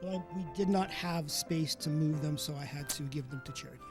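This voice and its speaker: A man's voice: on the high side, brisk, over music. A man chatting casually as a panelist on a TV show. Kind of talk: casual chat.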